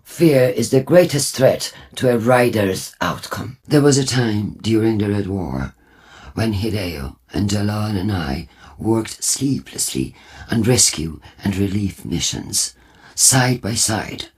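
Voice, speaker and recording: A prophetic leader of a futuristic war cult in a video game. The voice is low and husky, swinging widely in pitch. The delivery is formal, deliberate and full of portent. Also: male voice, a little mellow, heavily acted. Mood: resolute. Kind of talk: scripted video game character dialogue.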